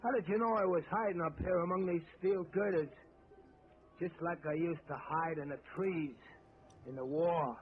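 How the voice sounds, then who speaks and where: An actor playing an unhinged, vengeful sniper who mutters and panics, in a 1940s radio drama. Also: male voice, a little high, steady tone, moderate pace, natural voice. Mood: frightened. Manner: muttering, then panicky and pleading. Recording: tinny old radio broadcast, hiss.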